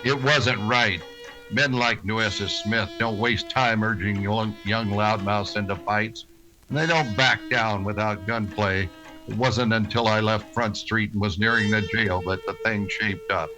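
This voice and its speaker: An actor playing a stern, terse western marshal, commanding in dialogue and narration in a radio drama. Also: male voice, lowish voice, fairly even intonation, unhurried, music in the background, lightly acted, low-quality recording. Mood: grim narration. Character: stern, laconic frontier marshal, authoritative narrator.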